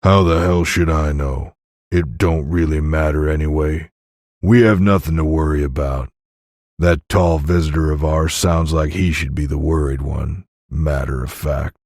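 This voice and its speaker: A man voicing a surly, dismissive Old West deputy who talks gruffly and impatiently in an audiobook story. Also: a bass voice, fairly even intonation, measured pace, a little mellow, no echo, studio quality.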